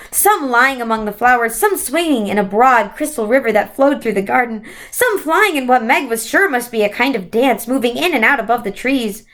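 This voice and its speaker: A woman's voice: fairly high pitch, fairly bright tone. A woman reading a children's book aloud, now and then stumbling over words and correcting herself. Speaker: story reader.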